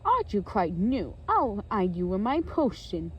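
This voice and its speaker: A woman's voice: mid-range voice, sing-song, measured pace, highs cut off, noisy background. A woman voicing an evil male storybook wizard, taunting and scolding.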